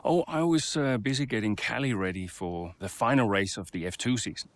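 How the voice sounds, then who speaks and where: A man's voice: lowish voice, brisk, natural voice. A man playing a modest, good-humoured older racing mentor with a self-effacing way of talking. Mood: reflective.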